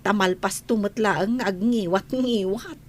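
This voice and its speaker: A woman's voice: mid-range voice, unhurried, slight background noise, natural voice. A Filipino woman playing a chatty, teasing senior housemaid in a radio comedy drama. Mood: gossipy. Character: chatty, gossipy older-sister housemaid.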